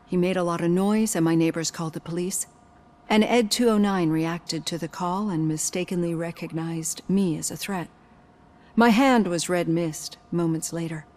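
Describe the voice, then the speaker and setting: A woman playing a composed, probing psychologist in a video game, speaking in a measured, articulate, clinical way. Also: on the low side, darkish tone, close to an everyday voice. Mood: confiding, measured. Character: composed, probing female psychologist with prosthetic hand.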